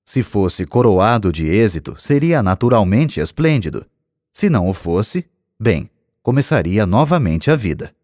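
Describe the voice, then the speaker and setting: A man with a Brazilian accent narrating an audiobook in a measured, expressive reading style. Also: lowish voice, no echo, clean studio sound.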